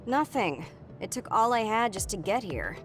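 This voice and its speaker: Lazy young female soldier, actress performing, anime game dub, deadpan, medium pitch with lively swings, music behind. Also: normal speed, lightly acted. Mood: nonchalant. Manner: nonchalant, deadpan.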